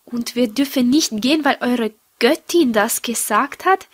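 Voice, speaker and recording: A woman's voice: fairly high pitch, a little slow. A woman playing a defiant, questioning adventurer in a fantasy audio drama. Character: defiant female adventurer challenging her captors.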